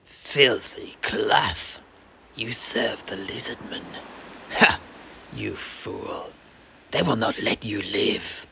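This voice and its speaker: Sneering dark elf captain, very slow, whispery and sharp, for a fantasy audio drama. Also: male voice, on the low side, creaky, full character voice. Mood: scornful menace. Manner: slow, drawn-out, menacing. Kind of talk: fantasy audio play acting.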